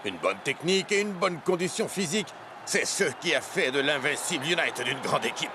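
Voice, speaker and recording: A man voicing a stern, self-assured, dismissive male football rival in an animated series, speaking critically. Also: fairly high pitch, a little fast, lightly acted. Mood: disdainful. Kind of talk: French animated series dubbing. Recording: clear outdoor ambient noise.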